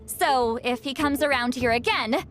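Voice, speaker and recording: A woman voicing a cocky, mischievous granny high priestess in a video game, blunt and teasing. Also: moderate pace, fairly bright tone. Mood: self-satisfied. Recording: studio voice over game music.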